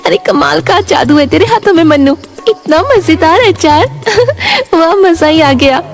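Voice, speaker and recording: Casting: gushing young girl done by a woman, high-pitched, moral story cartoon, faint music. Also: very animated, unhurried, heavily acted. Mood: delighted. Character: excited schoolgirl delighted by tasty food.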